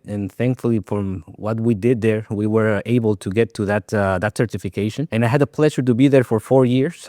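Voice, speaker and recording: A man's voice: on the low side, darkish tone, no room sound, clean studio sound. A man from Guatemala speaking English as a second language after Spanish, a doctor telling his career story in an interview, with many fillers and restarts. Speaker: allergist guest. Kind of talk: conversational interview.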